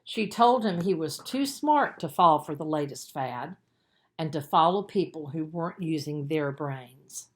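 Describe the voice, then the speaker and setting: A woman narrating a children's book as its author, in an expressive storytelling manner with deliberate emphasis. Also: deep voice. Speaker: author reading own book.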